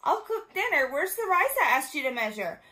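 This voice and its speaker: Fussy lady of the house, done by a female storyteller: dramatic, exclaiming, very high and sharp, big pitch swings. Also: very animated, fairly quick, slight background noise, heavily acted. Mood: fussy, demanding. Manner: exclaiming, easily dismayed.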